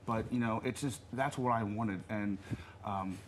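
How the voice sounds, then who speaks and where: A man's voice: on the low side, a little slow, background noise. A man in his thirties giving earnest answers as a TV interview guest. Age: 30s. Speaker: reality show guest.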